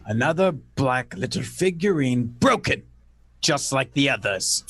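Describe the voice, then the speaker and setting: A man's voice: mid-range voice, animated, measured pace, a bit bright, no room sound, clean studio sound. A man playing a skeptical man who narrates an eerie murder mystery in a dramatic old-time radio style. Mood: eerie, grave.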